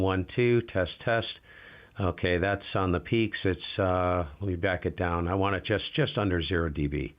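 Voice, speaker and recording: A man with a very low voice running a casual live ham radio demo, talking to himself as he adjusts settings. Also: dull audio. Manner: relaxed, talks to himself while adjusting gear. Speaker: ham radio livestream host.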